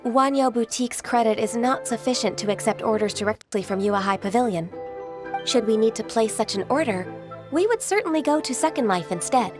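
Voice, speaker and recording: A woman playing a polite, composed young official in a video game, speaking formally and gently. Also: fairly high pitch, animated, brisk, music in the background, lightly acted. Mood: composed, firm.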